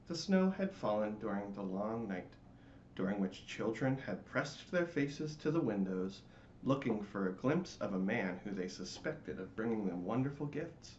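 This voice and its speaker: A man giving a theatrical, expressive dramatic reading of a storybook. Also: on the low side, moderate pace. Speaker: storybook reader. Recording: roomy, dull, faintly noisy home recording.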